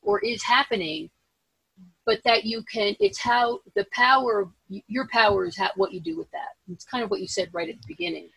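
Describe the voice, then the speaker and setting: Female podcast-style host. Raspy, creaky mid-pitched voice, reflective and conversational, rewords as she thinks. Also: normal speed, fairly bright tone, dry recording, poor audio. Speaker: interview host.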